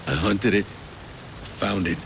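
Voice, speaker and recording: An actor playing a gruff, sardonic monster hunter in a video game, terse and deadpan, with a gravelly voice. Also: male voice, on the low side, slow. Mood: dry, sardonic. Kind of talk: video game cutscene acting. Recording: clear rain and ambient noise behind.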